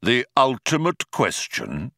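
A man voicing a patient, mild supercomputer in an audiobook, speaking in a measured, grandly declamatory manner. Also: mid-range voice, huge pitch swings, vocal fry, sharp tone, no room sound, studio quality, voice pushed up, heavily acted. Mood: pondering, firm.

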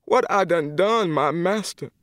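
Guy doing a sobbing old lady, high and slow, drags every word out. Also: huge pitch swings, dry recording, clean studio sound, heavily acted. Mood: grieving, tearful. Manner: slow, drawn-out, tearful pleading. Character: grieving heavyset old woman, pleading and desperate.

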